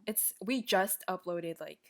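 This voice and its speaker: A young woman co-hosting a casual podcast with a friend, chatting playfully and laughing often. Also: on the low side. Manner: giggly, playful, quick to laugh. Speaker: podcast co-host. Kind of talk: casual chat.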